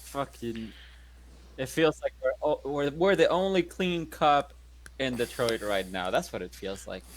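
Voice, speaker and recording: A man's voice: medium pitch, lively intonation, measured pace, background noise. A man playing a tabletop role-playing game who banters with jokes and swearing. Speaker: player.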